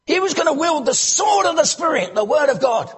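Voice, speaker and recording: A man preaching a sermon in a church, animated, breaking into loud exclamations and asking the congregation rhetorical questions. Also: very high-pitched, fairly quick.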